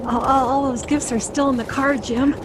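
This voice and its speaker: A woman plays the mother in a radio sitcom. Her voice is high, practical and a little fretful, with faint noise behind it. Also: steady tone, moderate pace, lightly acted. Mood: concerned. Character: sensible, anxious family mother.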